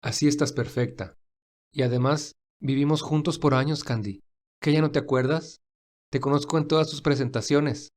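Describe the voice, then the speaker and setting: Actor as kind, reassuring busy gentleman. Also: on the high side, normal speed, natural voice. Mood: teasing. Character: William, kind busy wealthy man, warm and reassuring. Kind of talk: acted audio fan fiction dialogue.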